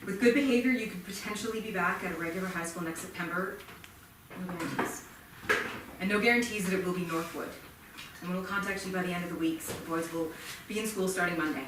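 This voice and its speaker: Woman playing strict calm school board chair, formal, super echoey theatre, noisy. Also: on the low side, far from the mic, close to an everyday voice. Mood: firm, regretful. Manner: formal, measured, authoritative. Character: firm, composed school board chair at expulsion hearing. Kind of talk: staged play reading.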